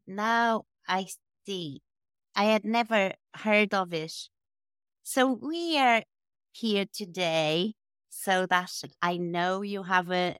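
A woman hosting a podcast interview, asking her guest questions with curiosity in an unhurried, friendly way. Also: mid-range voice, fairly bright tone, clear, resonant tone, no room sound, clean studio sound. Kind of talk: casual interview conversation.

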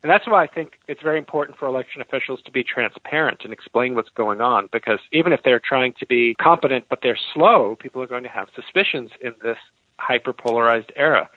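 A man's voice: medium pitch, fast, no echo, phone-quality sound. An American man speaking as an expert guest, explaining his points in answer to interview questions. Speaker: expert guest, law professor and author.

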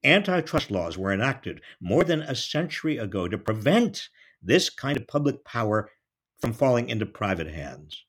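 A man with a husky voice delivering scripted political commentary straight to listeners, in emphatic, deliberate phrasing. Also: mid-range voice, sing-song, fairly bright tone.

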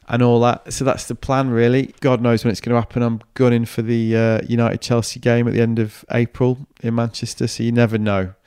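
Upbeat podcast host, smooth voice. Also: male voice, lowish voice, darkish tone, no room sound, studio quality. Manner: chatty, upbeat, banter-prone. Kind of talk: casual chat and solo wrap-up.

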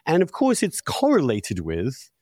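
A man's voice: on the high side, huge pitch swings, a little slow, a little mellow, no room sound, clean studio sound. A middle-aged man hosting a podcast discussion, speaking in an emphatic, opinionated, conversational way. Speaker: podcast host.

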